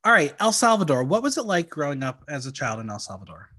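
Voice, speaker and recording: A man's voice: fairly high pitch, quick pace. A man hosting a podcast, chatting casually and joking with his guest. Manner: playful, jokey, animated. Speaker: podcast host. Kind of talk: casual interview chat.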